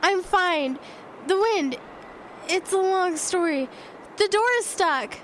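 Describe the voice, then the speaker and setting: A woman's voice: very high voice, expressive, slow pace, a bit bright, heavily acted. An actress playing a determined, devoted but frightened woman in a blizzard, urgent and pleading, in a horror audio drama. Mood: urgent, alarmed. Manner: urgent, pleading, repeating herself. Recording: noisy wind and effects bed.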